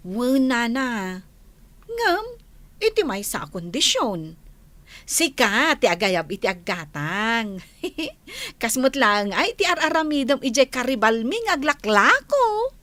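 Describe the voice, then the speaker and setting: A woman's voice: fairly high pitch, sing-song, slow pace, pushed and bright, exaggerated voice. An actress playing a sweet-talking, scheming young village woman with an Ilocano accent, coaxing expressively in a comedy radio drama. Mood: pleased, conspiring.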